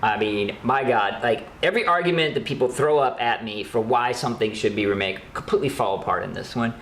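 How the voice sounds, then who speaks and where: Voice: fairly quick, fairly bright tone. A co-host of a horror news show giving opinionated, casual commentary in an emphatic way, repeating words for effect. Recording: roomy echo, background rumble noise.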